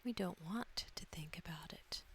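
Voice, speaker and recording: A woman softly guiding an ASMR meditation in a breathy near-whisper, gentle, soothing and deliberate. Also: fairly low pitch. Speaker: guided meditation host. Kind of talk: soft-spoken ASMR guided meditation. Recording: faint background hiss.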